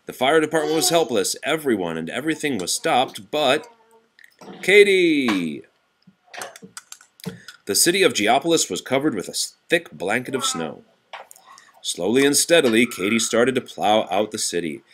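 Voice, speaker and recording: Animated man reading a storybook aloud. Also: medium pitch, normal speed, pauses often, a little hiss or hum. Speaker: reader of a children's picture book. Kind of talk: storybook read-aloud to a child.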